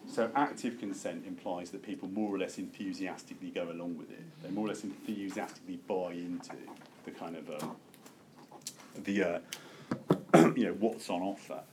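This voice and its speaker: A man lecturing to a seminar group, halting and self-correcting as he thinks aloud and opens things up for discussion. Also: sits low, steady tone. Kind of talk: academic lecture with open discussion. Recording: noticeable background room noise.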